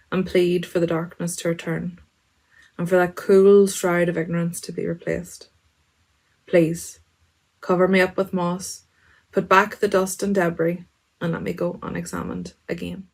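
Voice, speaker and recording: Gravelly woman reading poetry. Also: fairly low pitch. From Ulster, Ireland. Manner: measured, softening at line ends. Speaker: prize-winning translator reading her poem.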